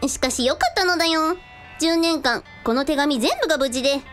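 A woman's voice: sits high, brisk, a bit bright, faint music behind. A woman playing a quirky, teasing young woman in a radio drama, with quaint mannered phrasing. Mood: musing. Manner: quaint mannered sentence endings.

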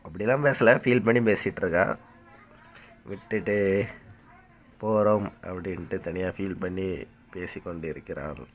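A man's voice: normal speed, long pauses. A young man narrating a casual family vlog from a train sleeper coach, chatty and coaxing his baby. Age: young adult. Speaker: vlogger, father. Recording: loud train noise behind. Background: loud train rumble.

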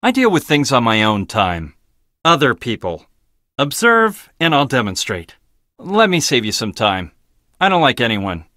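An actor playing an arrogant, blunt, logical research scientist in a video game, with a clipped, dismissive, pedantic delivery. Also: male voice, mid-range voice, big pitch swings, a little slow, sharp tone, no echo, clean studio sound. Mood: dry, matter-of-fact.

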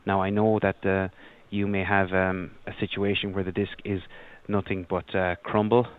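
A man working as a customer service agent on a phone call, speaking politely and apologetically, with halting delivery. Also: deep voice, flat delivery. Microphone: telephone. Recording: narrow tinny phone line.

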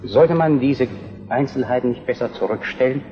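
A man plays a courtly, determined statesman of old Prussia in a radio play. His medium-pitched voice is formal and firm, with respectful deference. The sound is dull and reverberant. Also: moderate pace, over music, lightly acted. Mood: urgent, courteous. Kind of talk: historical German radio drama acting.

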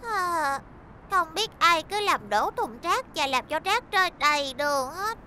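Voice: extremely high pitch, very animated, a little slow, a little hiss or hum, full character voice. An actor voicing a small, earnest, harried street-cleaning vehicle in a children's cartoon dub, with a plaintive manner. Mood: frustrated. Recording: loud music behind.

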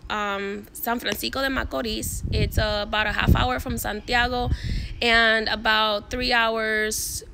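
Bright-voiced young woman chatting outdoors. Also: fairly high pitch, creaky, a little hiss or hum. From Dominican Republic. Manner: chatty, rambling with many fillers and restarts. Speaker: spiritual coach vlogger. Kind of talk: casual talk to camera. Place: backyard outdoors. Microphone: phone at arm's length.

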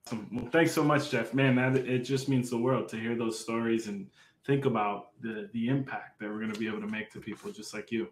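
A man's voice: mid-range voice, fairly even intonation, a little fast. A man moderating a panel on a video call, friendly and upbeat. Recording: echoey room.

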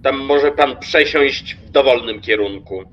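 A man's voice: mid-range voice, a little slow, close to an everyday voice. Man playing an officious train conductor, slightly muffled. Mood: curtly official. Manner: formal, curt, questioning. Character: brisk, officious male train conductor, sardonic. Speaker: voice actor. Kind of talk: radio play acting.

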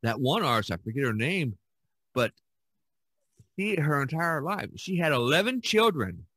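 A man in his sixties hosting a casual podcast conversation, speaking haltingly and often repeating phrases. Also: a little high, big pitch swings, pushed and bright, breathy edge, dry recording. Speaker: podcast host.

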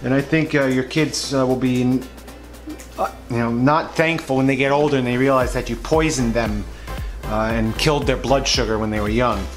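A man's voice: medium pitch, steady tone, moderate pace. A man hosts a vlog, talking casually and hesitantly about supermarket flyers, with many fillers. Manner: rambling, hesitant, full of uh fillers. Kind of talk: casual unscripted commentary. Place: indoors at a table, voice-over to overhead shots. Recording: music playing under the voice. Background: background music.

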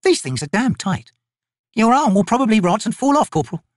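A man voicing a gruff female soldier, brisk and sardonic in a raised voice. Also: high voice, sing-song, no room sound, studio quality, voice pushed up.

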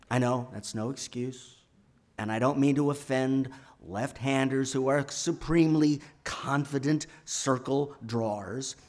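An older man giving a sermon from a lectern in a church hall, telling a personal story in measured phrases with pauses and stressed words. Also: mid-range voice, a little mellow. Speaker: lay speaker giving a sermon. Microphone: gooseneck lectern microphone.

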